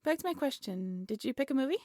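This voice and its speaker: A woman playing an affectionate, playful young woman prone to overthinking, in an intimate roleplay audio drama, with soft, teasing, expressive delivery. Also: high-pitched, quick pace, no echo, studio quality.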